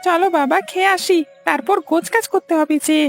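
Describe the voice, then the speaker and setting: A high, childlike cartoon schoolboy, whiny and insistent. The voice has a scratchy creak, and music plays behind it. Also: female voice, brisk. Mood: eager. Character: stubborn, sulky young schoolboy pleading with his parents. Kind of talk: cartoon voice acting.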